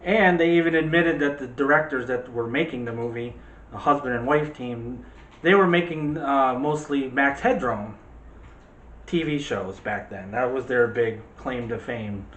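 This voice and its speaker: A man's voice: medium pitch, measured pace, a bit bright, highs cut off. A man hosting a movie discussion show in a casual, chatty and opinionated way. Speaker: host of a movie review show. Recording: echoey room.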